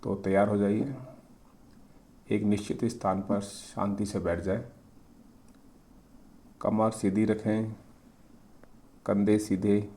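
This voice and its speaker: Slow, low-voiced man guiding meditation. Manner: calm, gentle, instructing. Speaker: guided meditation teacher. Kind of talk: slow guided meditation in short, pausing phrases.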